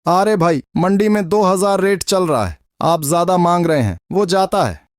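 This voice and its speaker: मोलभाव करता ग्राहक बना आदमी, ऊंचा सुर. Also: male voice, sits high, animated, moderate pace, no room sound, clean studio sound. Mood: objecting. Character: plain-spoken male market customer haggling over prices. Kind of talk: Hindi cartoon story voice acting.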